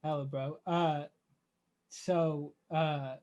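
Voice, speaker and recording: A man, interviewing casually in a loose, halting, slangy way. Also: fairly high pitch, fairly even intonation, a little mellow, muffled recording. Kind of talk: casual chat.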